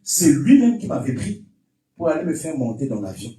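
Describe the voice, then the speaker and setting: A man with a Congolese French accent preaching a fervent sermon, emphatic and repetitive, often shouting his exhortations. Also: on the high side, big pitch swings, normal speed, slight background noise. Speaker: pastor preaching. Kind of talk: fervent Pentecostal-style sermon with testimony and call-and-response. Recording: echoey church PA, distant, music behind.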